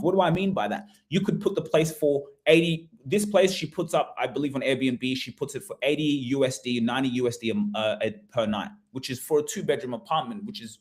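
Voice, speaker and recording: Man, mid-pitched voice, informal webinar host, conversational, repeats phrases, rhetorical questions. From Australia. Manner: conversational, repetitive, frequent rhetorical questions to the audience. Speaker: webinar host, property investment advisor. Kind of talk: informal live webinar presentation.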